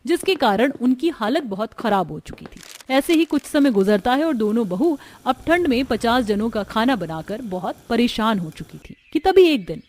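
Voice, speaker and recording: High-voiced woman narrating a story. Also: a little mellow. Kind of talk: scripted animated story narration.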